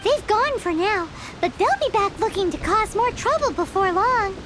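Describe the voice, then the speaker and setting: A woman voicing a tiny childlike fairy companion in a video game, squeaky, bossy and whiny. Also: lively intonation, moderate pace, a bit bright, slight background noise. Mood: skeptical. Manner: whiny, indignant, third-person self-reference. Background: game music.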